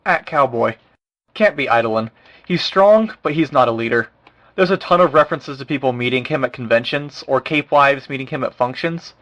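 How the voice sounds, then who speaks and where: A man's voice: medium pitch, normal speed, fairly bright tone, natural voice. Man playing an opinionated poster, muffled sound. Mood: argumentative. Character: opinionated male internet forum poster. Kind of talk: audiobook character acting. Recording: dull, lo-fi microphone sound.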